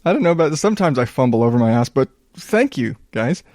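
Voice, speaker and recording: A man's voice: very animated, fast, a little mellow, no room sound, clean studio sound. Casual, rambling man hosting a podcast. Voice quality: smooth. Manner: rambling, self-deprecating, profane, low-key. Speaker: podcast host. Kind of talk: casual solo podcast talk.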